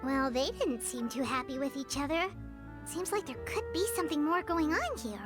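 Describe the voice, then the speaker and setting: A woman's voice: high voice, normal speed, over music, natural voice. A woman voicing a tiny, chirpy floating girl companion in a game. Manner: chirpy, excitable.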